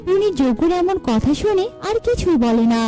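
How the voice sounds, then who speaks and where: A woman's voice: very high voice, expressive, normal speed, dark tone, soft background music. A woman narrator reading a scripted children's cartoon story.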